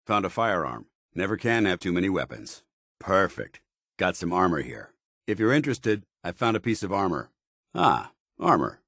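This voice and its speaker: Video game soldier: stern, disciplined, formal, low-pitched and husky, with varied intonation. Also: male voice, big pitch swings, moderate pace, a bit bright, dry recording, studio quality, exaggerated voice. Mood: businesslike. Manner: formal, military, clipped. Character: stern, disciplined soldier in power armor, middle-aged man. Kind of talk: scripted video game dialogue.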